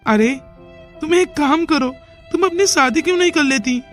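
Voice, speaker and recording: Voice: sits high, lively intonation, normal speed, a bit bright. A performer voicing an earnest, persuasive young village man in a cartoon moral story. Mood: insistent, reassuring. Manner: insistent, reassuring. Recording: music behind the dialogue.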